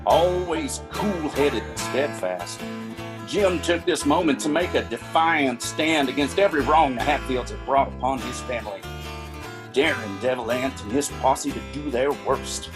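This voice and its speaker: A man delivers dramatic scripted narration for a radio drama. His mid-pitched voice is grandiose and pauses for effect, with clear guitar music underneath.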